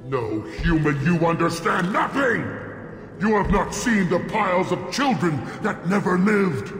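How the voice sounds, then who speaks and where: Game villain: bloodthirsty alien clan spokesman. High register for a man, grandiose threats, long reverb, faint music. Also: animated, unhurried. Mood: furious, defiant. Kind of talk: video game villain acting.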